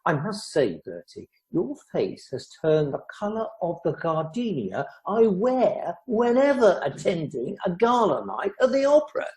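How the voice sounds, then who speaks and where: A man's voice: high-pitched, big pitch swings, unhurried, few pauses, darkish tone, rough recording. An arch country-house guest, played by a man in a radio play. The delivery is wordy and teasing, with very varied intonation and dry mischief. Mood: drily mischievous. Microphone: video call.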